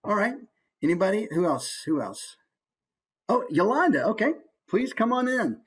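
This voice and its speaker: A woman's voice: mid-range voice, sing-song, normal speed, slightly rough. A woman teaching a workshop, coaching a group casually in an encouraging way.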